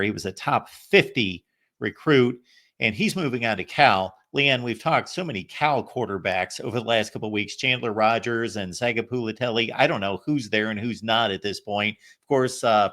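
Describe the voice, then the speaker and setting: A man hosting a college football talk show, delivering transfer news in a steady, newsy way. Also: lowish voice. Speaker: host. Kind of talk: sports talk commentary.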